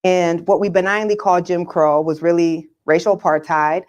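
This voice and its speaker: Lower-voiced woman explaining with emphasis. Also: level tone, moderate pace, a little mellow, lo-fi sound. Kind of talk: explanatory political commentary in conversation.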